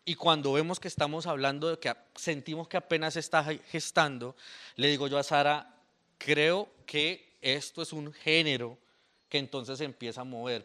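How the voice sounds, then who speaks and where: Animated young man, rambling. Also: fairly high pitch. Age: young adult. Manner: rambling, animated, with fillers and restarts. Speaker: author presenting his poetry book. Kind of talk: casual talk at a book presentation.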